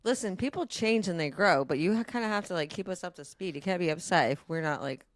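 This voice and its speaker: A woman's voice: fairly low pitch, expressive, fast, no room sound. A woman bantering casually as a podcast panelist.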